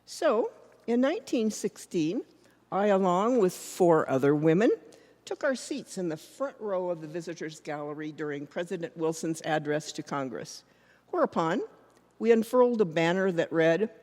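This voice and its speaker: A woman performs as a proud female suffragist making a public stage speech. She is declamatory and deliberate, with a defiant edge. Long reverb from the hall surrounds her voice. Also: lowish voice, very animated, darkish tone. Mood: defiant, indignant. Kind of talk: readers theater monologue, public speech.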